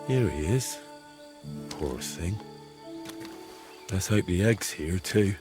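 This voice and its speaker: A man's voice: sits low, expressive, takes it slowly, darkish tone, faint music behind. An actor playing a cheeky, curious young man in a medieval game, talking in a casual, persuasive way. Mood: wondering. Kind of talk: dramatic game dialogue acting.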